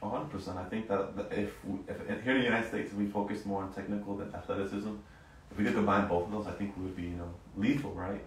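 A man's voice: lowish voice, fairly even intonation, fast, slight background noise. A man hosting an interview, asking casual questions and finishing his guest's sentences. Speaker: interview host. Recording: very echoey room, distant mic.

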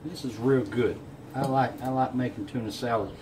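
A man, a home cook casually talking through a recipe in a folksy, unhurried way. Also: mid-range voice, steady tone. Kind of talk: casual cooking demonstration. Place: home kitchen, indoors. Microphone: camera on counter a short way off. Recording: clear room noise in a kitchen.

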